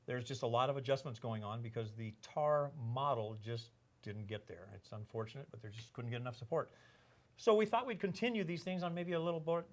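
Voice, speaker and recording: An older man hosting a casual podcast, rambling and joking, with lots of fillers and restarts. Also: mid-range voice. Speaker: podcast host, organization executive.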